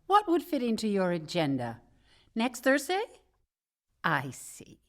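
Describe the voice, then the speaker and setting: A woman's voice: medium pitch, huge pitch swings, a little slow. An actress playing a smart, wary young switchboard worker in a comedic radio drama, wry and mock-polite. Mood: brisk, scheming. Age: young adult.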